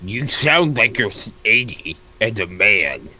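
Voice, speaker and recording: A man with a fairly low voice and a bright, forceful edge reads comedic dialogue. He sounds mock-formal and bantering, as if improvising around a script. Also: sing-song, measured pace. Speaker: co-reader of a comic. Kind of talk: comedic character reading, improvised.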